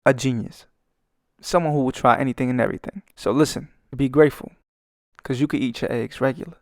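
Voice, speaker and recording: A man's voice: mid-range voice, brisk, gravelly, no room sound, studio quality. A young man with a Brooklyn accent giving swaggering, profane, joking commentary while he draws. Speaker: artist and channel host. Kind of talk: rambling comedic commentary over a speedpaint.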